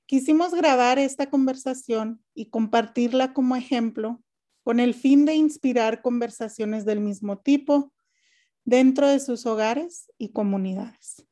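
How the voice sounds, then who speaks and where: Woman host from Mexico, calm scripted podcast delivery, warm mellow tone, fairly high voice. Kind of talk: scripted podcast introduction and moderating.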